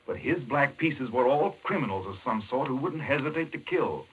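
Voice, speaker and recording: Intense, husky-voiced doctor, old radio. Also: male voice, medium pitch, lively intonation, moderate pace. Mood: fascinated, grave. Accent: American. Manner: urgent, emphatic, explanatory. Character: intense, obsessed male chess-playing doctor explaining a madman's scheme. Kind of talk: 1940s radio mystery acting. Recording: muffled 1940s radio recording.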